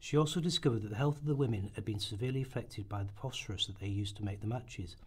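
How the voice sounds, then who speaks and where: A man's voice: lowish voice, quick pace, darkish tone, slightly rough. A man narrating a historical radio play at a rehearsal, reading from a script and occasionally stumbling over it. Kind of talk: scripted documentary-drama narration, read aloud.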